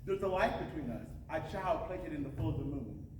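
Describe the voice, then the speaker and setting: A teenage boy acting a solemn father in a high school stage play, speaking in a poetic, declamatory way. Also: animated, brisk, lightly acted. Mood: solemn, sorrowful. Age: teens. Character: solemn, grieving father of a silent daughter. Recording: echoey stage, distant, noisy.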